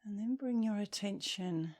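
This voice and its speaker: A woman leading a guided meditation as an instructor, speaking softly and soothingly, with gentle, breathy phrase endings. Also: medium pitch. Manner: soothing, gentle, unhurried with long pauses.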